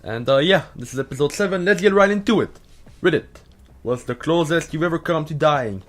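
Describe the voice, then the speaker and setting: A man's voice: a little high, lively intonation, a little fast. A young man with a Quebec French accent, partly Americanized, rambling in a casual vlog full of swearing, "uh" fillers and restarts. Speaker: YouTuber answering Reddit questions.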